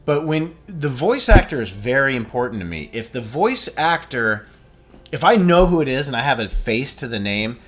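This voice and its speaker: A man's voice: mid-range voice, sing-song, moderate pace, fairly bright tone. A man in his forties co-hosting a movie review, talking casually with some swearing and laughter. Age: 40s. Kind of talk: casual chat.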